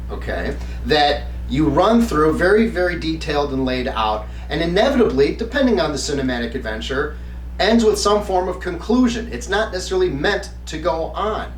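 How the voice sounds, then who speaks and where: Give the voice of a man presenting a review to viewers, pitched a little high. He explains with enthusiasm, pausing and stressing words as he goes. The sound is very roomy and a bit off the mic, with faint noise underneath. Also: recorded from a distance. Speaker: host of a game review channel. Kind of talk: semi-scripted review talk to viewers.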